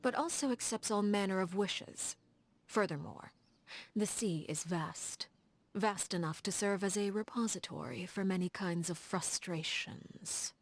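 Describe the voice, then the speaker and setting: A woman playing a coolly elegant, formal leader of agents in a video game, speaking in a composed, measured and refined way. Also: medium pitch. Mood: calm, reflective. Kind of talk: scripted video game character dialogue.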